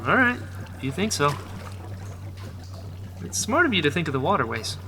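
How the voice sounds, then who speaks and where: A low-voiced woman playing a gentle, anxious rebel. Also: big pitch swings, normal speed, full character voice. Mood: steady. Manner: soft, hesitant, stammering. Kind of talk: audio drama acting.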